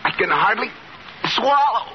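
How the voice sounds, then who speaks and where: An actor playing a groaning, self-pitying husband who feigns illness in an old-time radio comedy. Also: male voice, high voice, expressive, unhurried. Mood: self-pitying, grumbling. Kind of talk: 1940s-50s radio comedy acting. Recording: muffled, noisy vintage radio recording.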